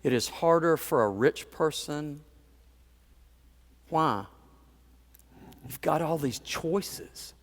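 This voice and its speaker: A man's voice: a little high, lively intonation, slow pace, long pauses. A male pastor delivering a sermon in a conversational storytelling manner, with chuckles and playful asides to the congregation.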